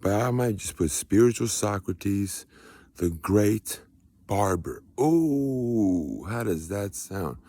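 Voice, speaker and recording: An American man with a fairly low, mellow voice and a husky edge. He delivers a casual motivational testimony, emphatic and preachy, circling back on phrases to drive them home. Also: expressive, takes it slowly, dry recording. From United States. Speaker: spiritual vlogger.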